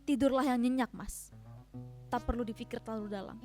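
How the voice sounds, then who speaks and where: A high-pitched, wounded wife in a failing marriage, played by a woman. Her delivery is theatrical, emotional and reproachful, as in a staged dramatic reading, with faint music behind. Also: steady tone, brisk, a little mellow, close to an everyday voice. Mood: bitter resignation. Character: hurt, proud village wife in a failing marriage.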